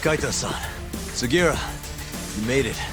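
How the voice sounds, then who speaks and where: A man's voice: medium pitch, animated, moderate pace, natural voice. A man playing a tough, streetwise detective hero in a video game, talking in a sardonic, conversational way. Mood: relieved, brisk. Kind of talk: video game cutscene acting. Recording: dramatic music under the dialogue.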